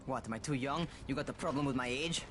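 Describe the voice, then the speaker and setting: An actor playing a brash, bossy young runner in a video game, curt, scolding and defensive. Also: male voice, medium pitch, quick pace, noisy background, lightly acted. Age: young adult.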